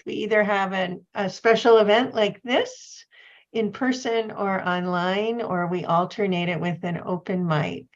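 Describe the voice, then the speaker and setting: Female event host, courteous and unhurried, mid-range pitch, slightly lo-fi call audio. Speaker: host of a library poetry event. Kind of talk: informal hosting and thanking guests. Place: at home, on an online video call.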